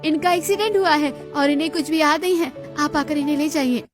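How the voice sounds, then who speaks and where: A woman playing a caring young village woman in a dramatized moral story, earnest, anxious and expressive. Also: high voice, a little fast, over music, lightly acted. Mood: urgent.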